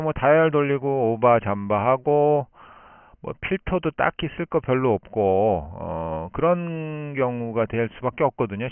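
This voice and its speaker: A man's voice: fairly high pitch, clean tone, dry recording. Man explaining over narrow-band shortwave radio. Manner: explanatory, thinks aloud with pauses. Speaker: amateur radio operator. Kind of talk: unscripted radio contact, long explanatory turns.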